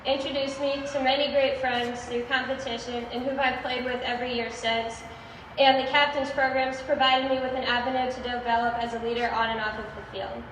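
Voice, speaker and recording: A woman's voice: a little high, level tone, a little fast. An eighteen-year-old American woman giving a prepared ceremony speech as a youth team captain. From United States (Illinois). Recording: echoey outdoor PA from far off, noisy.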